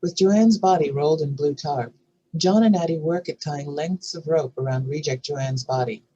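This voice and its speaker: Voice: medium pitch, moderate pace, warm tone, highs cut off. Narrator reading stage directions, faint noise. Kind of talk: scripted narration.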